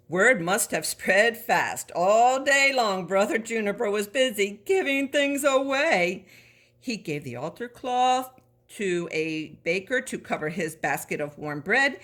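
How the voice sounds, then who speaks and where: A woman reading a children's story aloud in an expressive, animated way, as a storybook reader. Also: mid-range voice.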